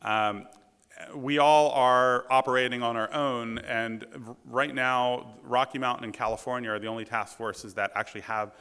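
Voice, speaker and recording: A man giving a conference presentation in a large hall, with a booming voice and a halting delivery full of ums and restarts. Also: steady tone, bright, forceful tone, clear, resonant tone. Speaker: conference speaker, task force president. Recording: reverberant hall.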